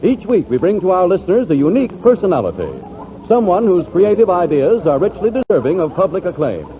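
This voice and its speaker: A man's voice: sits high, big pitch swings, brisk. A man announcing a 1940s radio show in a formal, grandiose, polished manner. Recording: dull old radio broadcast, loud music.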